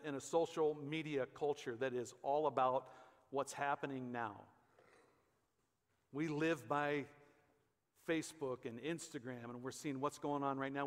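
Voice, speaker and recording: A man in his fifties with an American accent and a high-pitched voice preaches in a conversational, emphatic way, repeating phrases for weight. He is a pastor on stage, and the long reverb of a large church hall surrounds his words. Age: 50s. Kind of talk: conversational sermon.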